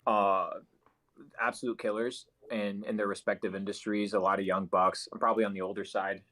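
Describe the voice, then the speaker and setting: Lowish-voiced young man, American accent. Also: flat delivery, fairly quick, a bit bright. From Argentina. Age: 20s. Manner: informal, sweary. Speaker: entrepreneur, guest. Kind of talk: casual personal storytelling.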